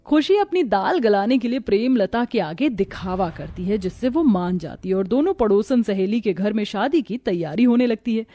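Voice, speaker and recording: A woman reading scripted narration for an animated moral story. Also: mid-range voice, normal speed, few pauses.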